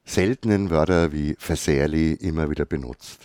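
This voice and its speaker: A man with a Franconian accent hosting a casual chat, enthusiastic and quick to praise. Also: rumbling low, a little mellow, clear, resonant tone, dry recording, studio quality. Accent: Franconian German. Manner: enthusiastic, repeats "herrlich, wundervoll".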